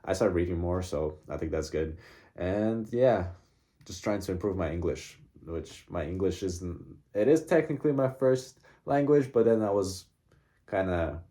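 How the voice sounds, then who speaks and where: A man's voice: low-pitched, normal speed, mellow. A young man talking casually about his own life, rambling with lots of fillers and laughing at himself. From grew up in Russia from childhood. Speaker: vlogger. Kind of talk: casual personal monologue.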